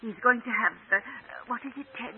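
A woman's voice: on the high side, fairly quick, husky. A doting older aunt in a vintage radio drama. She is fond and fussing, with a motherly air. The old recording is thin and tinny. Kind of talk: 1940s radio acting.

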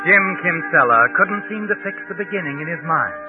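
An actor playing a mysterious, knowing night-walking narrator of dark tales in an ominous, dramatic 1940s radio style. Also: male voice, a little high, animated, close to an everyday voice. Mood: tense, dramatic. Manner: ominous, dramatic, deliberate delivery. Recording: old narrowband radio recording with music.

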